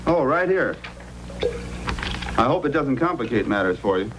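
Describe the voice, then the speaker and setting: A man's voice: medium pitch, big pitch swings, normal speed, natural voice. A man playing a helpful, welcoming frontier police officer in an old-time radio drama, friendly and earnest. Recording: old radio recording, faint noise.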